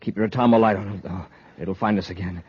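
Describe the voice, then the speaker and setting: A man's voice: on the low side, steady tone, fast talker, slightly rough, no echo. An actor playing a brave, decisive male space patrol commander in 1950s radio drama style, authoritative, earnest and urgent. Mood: steady, determined. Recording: muffled old radio recording, faint noise.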